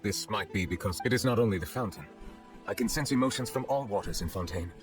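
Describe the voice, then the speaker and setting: Guy doing a deep solemn dragon judge in human form, formal game voice, music loud behind. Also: lightly acted. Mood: grave, reflective. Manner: formal, measured, deliberate. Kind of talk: video game dialogue acting.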